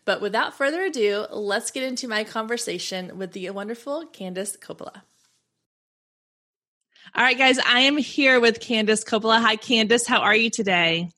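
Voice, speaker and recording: A woman's voice: on the high side, moderate pace, pushed and bright. A woman hosting a business podcast, introducing and interviewing her guest with enthusiastic, effusive praise. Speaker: podcast host.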